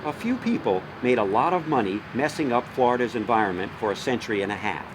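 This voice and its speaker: Animated male documentary narrator over background noise. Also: mid-range voice, fast talker. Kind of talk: scripted narration.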